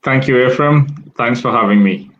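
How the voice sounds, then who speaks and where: A man in his thirties from Ethiopia, a guest in an online interview, speaking in a measured way with fillers over a video call. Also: mid-range voice, a bit bright. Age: 30s. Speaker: guest entrepreneur. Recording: dull lo-fi call audio.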